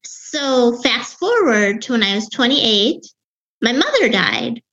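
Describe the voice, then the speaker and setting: A woman in her fifties with a Wisconsin accent and a young-sounding voice, telling her own story as an interview guest in a reflective way, with halting restarts. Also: on the high side, very animated, fairly bright tone, highs cut off. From Wisconsin, USA. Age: 50s. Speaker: author and grief guest.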